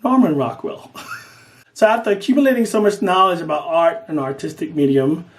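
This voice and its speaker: A middle-aged man speaks earnestly to the camera, his voice sitting a bit high for a man. He tells his story in lively swings, rushing some phrases and stretching others out for emphasis. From Guyana. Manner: earnest, lively, swings between quick bursts and slow emphasis. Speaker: artist making an audition video.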